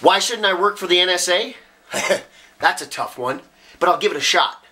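A man's voice: sits high, expressive, normal speed, pushed and bright, pitched above the performer's own voice. A man playing a cocky, cynical young working-class genius, rambling sardonically through a dramatic film monologue. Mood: sardonic. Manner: rambling, sardonic, building chain of argument.

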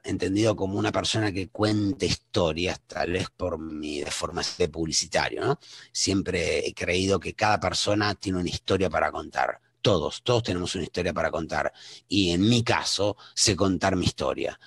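A man in his forties gives an online seminar presentation in a low, gravelly voice with a husky edge. He talks conversationally, with fillers and restarts. The sound is dry and close. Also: a bit bright, no room sound. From Argentina. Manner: conversational, self-introducing, many fillers and restarts. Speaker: webinar presenter.

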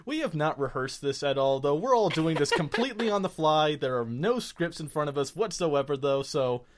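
A man's voice: a little high, lively intonation, moderate pace, background noise. A man hosting a gaming show in unscripted casual chat, rambling and stammering in a self-deprecating way.

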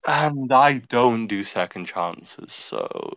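A man's voice: mid-range voice, lively intonation, takes it slowly, a bit bright, dry recording, dull audio. A man moderating and arguing in a heated voice-chat debate, sarcastic and combative. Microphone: computer mic on voice call.

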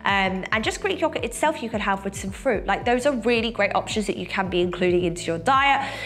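Young female vlogger, nasal from a cold, relaxed chatter full of fillers, mid-range voice, seated indoors. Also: mid-range voice, brisk, slight background noise. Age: young adult. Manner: chatty, many fillers, lively gestures. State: sick with a cold, slightly nasal. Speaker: fitness coach and vlogger. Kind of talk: casual sit-down chat to camera. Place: bright room indoors, seated.

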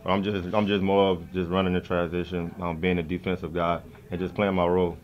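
A young man, a college basketball player, answering press questions after a game in a soft-spoken, filler-heavy way. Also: low voice, flat delivery, a little fast, muffled recording, slight background noise. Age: young adult. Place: press conference room. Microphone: table mics in front of him.

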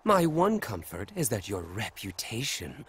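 A man's voice: fairly low pitch, wildly swooping pitch, a little slow, a bit bright, breathy edge, exaggerated voice. A man voicing a vain, haughty bird warrior with a pompous, sneering drawl, in a dramatic video game style. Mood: scornful.

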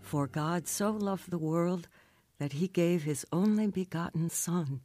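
A woman's voice: lowish voice, measured pace, warm tone, dry recording, faint music behind. An actress playing an earnest, devout woman who tells her own emotional life story in a radio drama. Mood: tearful, overjoyed. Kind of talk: first-person narration in a radio drama.

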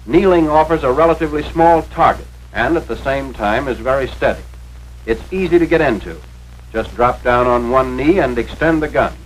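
1940s American training film narrator, noisy soundtrack. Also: male voice, medium pitch. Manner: instructive, authoritative, carefully enunciated.

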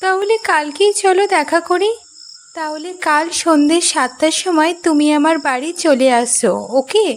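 A woman's voice: high-pitched, a little slow, voice pushed up. A woman voicing a friendly, coaxing young ghost woman luring a man in a horror cartoon.

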